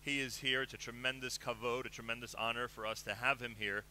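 A middle-aged man hosting a live radio show, enthusiastic and animated, speaking into a headset mic in a broadcast booth. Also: medium pitch, a little fast, slight background noise. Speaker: radio host.

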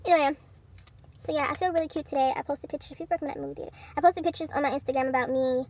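A woman's voice: high voice, steady tone, rapid-fire, darkish tone. Chatty young woman vlogging from a car. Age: young adult. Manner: chatty, rambling, many fillers and restarts. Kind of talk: casual vlog chat. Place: parked car. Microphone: phone camera held close.

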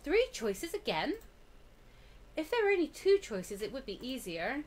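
Animated, higher-voiced woman gaming host. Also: unhurried, very noisy. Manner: sweary, playful. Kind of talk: casual let's play commentary with read-aloud narration. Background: loud game music.

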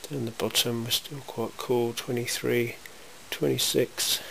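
A man giving an informal, matter-of-fact hands-on demonstration in a workshop, speaking in a measured way. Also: mid-range voice, flat delivery, gravelly, a bit bright. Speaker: welding demonstrator. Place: indoor cluttered workshop. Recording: hissy, noisy amateur camera sound.

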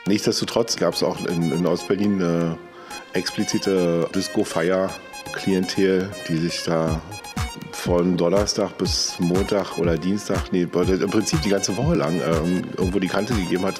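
Male interviewee, middle-aged, deep voice, casual recollection with music underneath. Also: steady tone, a little slow. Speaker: interviewee, eyewitness. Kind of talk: conversational recollection.

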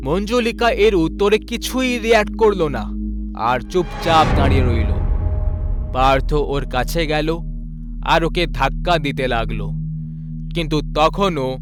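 A man narrating a scripted ghost story in a dramatic, suspenseful manner with pauses. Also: on the high side, lively intonation. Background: faint background music.

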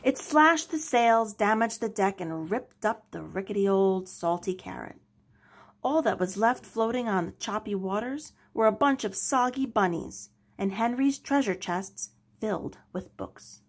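A woman narrating a children's story aloud with expressive, dramatic delivery. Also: medium pitch, unhurried. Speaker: storybook reader.

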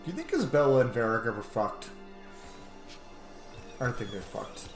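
A man's voice: medium pitch, huge pitch swings, moderate pace. Sweary male gamer in an echoey room. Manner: playful, sweary. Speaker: game streamer. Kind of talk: casual let's play commentary. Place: small indoor room. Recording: roomy echo, game music behind. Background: video game music and effects.

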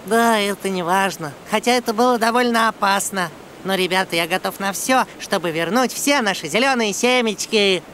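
A man's voice: high voice, moderate pace, pushed and bright, slight background noise. A man voicing a small, dramatic, bookish monkey in a dubbed children's cartoon, theatrical and exclamatory. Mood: earnest. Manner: exclamatory, theatrical, self-talking. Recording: music under the voice.

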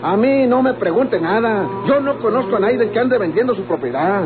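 A man playing a gruff, irritable Mexican rancher in a golden-age radionovela, with a Mexican accent and emphatic delivery. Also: sits high, lively intonation, brisk, over music. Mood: exasperated, angry. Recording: tinny, noisy old radio recording.

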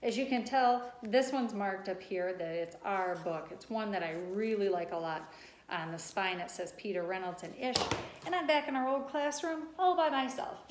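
A woman with a mid-pitched voice reads to young children like a preschool teacher. She is expressive and deliberate and addresses her listeners directly. The room is echoey, with some noise in the background. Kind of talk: read-aloud storytelling to young children. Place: empty classroom.

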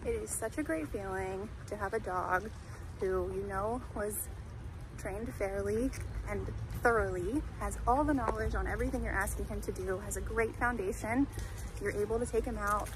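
A woman dog trainer explaining training methods in a clear, conversational way while walking a dog outdoors by a creek in a town center. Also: medium pitch, normal speed. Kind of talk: instructional walk-and-talk. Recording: outdoor street noise.

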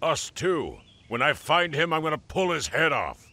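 An actor playing a gruff, hot-tempered warrior with a blunt, sardonic manner in a video game. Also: male voice, a little high, big pitch swings, normal speed, sharp tone, no room sound, full character voice. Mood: gruff, irritated. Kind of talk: dramatic video game voice acting.

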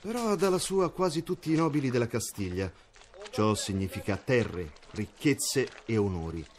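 A man's voice: mid-range voice, animated, normal speed, darkish tone, a little hiss or hum, natural voice. A man playing a sly, jovial mercenary captain. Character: cynical, laughing male mercenary captain. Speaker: mercenary leader. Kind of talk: radio drama acting.

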